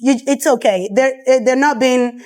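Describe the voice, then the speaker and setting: A woman in her thirties from Nigeria with a Nigerian accent, a salon owner telling her story in a relaxed, chatty interview full of fillers. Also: sits high, moderate pace. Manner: relaxed, chatty, many fillers, quotes others. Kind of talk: casual interview.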